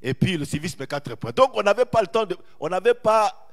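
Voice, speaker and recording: Man preaching, fairly high voice, very lively swinging pitch, stretches key words. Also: moderate pace. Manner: animated preaching, repeats phrases, draws out key words. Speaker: pastor. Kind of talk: sermon.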